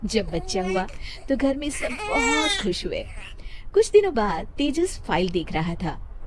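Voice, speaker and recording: A woman's voice: mid-range voice, sing-song, unhurried, background noise. A woman storyteller reading a scripted moral story as narrator.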